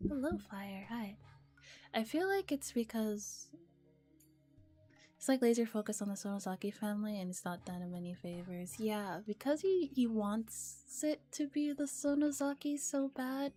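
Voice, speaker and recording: A woman with a mellow, soft voice reads and comments like an ASMR streamer, relaxed and casual. Her pitch sits in the middle for a woman. Faint music plays behind her. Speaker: streamer hosting a visual novel ASMR stream.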